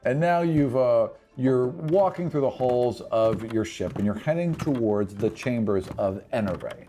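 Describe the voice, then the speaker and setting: A man narrating a tabletop fantasy game as game master, improvising in an animated way and repeating phrases for emphasis. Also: mid-range voice, a little slow.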